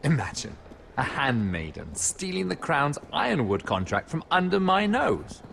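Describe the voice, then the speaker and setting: An actor playing a scheming, wealthy merchant lord in a story game, with silky, mocking, falsely friendly speech. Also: male voice, low-pitched, gravelly, exaggerated voice. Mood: menacing. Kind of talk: video game voice acting.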